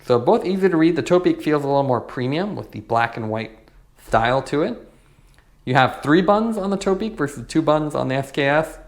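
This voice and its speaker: A man's voice: on the high side, expressive, fairly quick. A man giving a casual, plain-spoken product review, explaining and demonstrating features in a matter-of-fact way.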